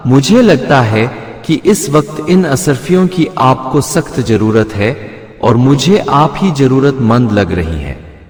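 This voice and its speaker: A man's voice: medium pitch, expressive, slow, darkish tone. A man voicing a kindly, thoughtful king in disguise in a moral storybook tale. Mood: kind, concerned. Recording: echoey hall-like long reverb.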